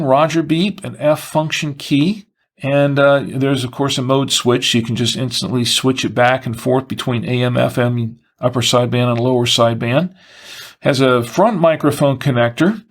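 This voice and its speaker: A man with a medium-pitched voice presents a product rundown. He speaks as a reviewer working partly from a script, explaining details and itemizing them in measured, short phrases.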